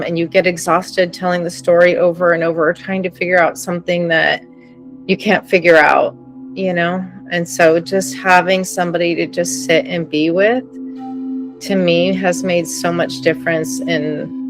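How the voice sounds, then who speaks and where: Lower-voiced woman, candid and earnest, sharing personal reflections on a panel, faint music behind. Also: rough recording. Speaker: helping professional on a panel. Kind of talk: casual reflective conversation.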